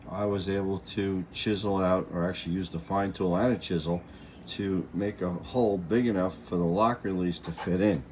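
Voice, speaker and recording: Male how-to presenter, low register, casual and plain-spoken, faint outdoor background noise with chirping insects. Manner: plain-spoken, chatty, explains step by step. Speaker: installer presenting a how-to video. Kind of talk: casual hands-on tutorial narration. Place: outdoors at a gate.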